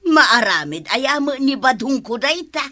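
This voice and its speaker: A woman's voice: high-pitched, very animated, unhurried, pushed and bright, heavily acted. A woman with an Ilocano accent playing a chatty, thrifty wife in a comedic radio drama, with music behind. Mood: cheerful.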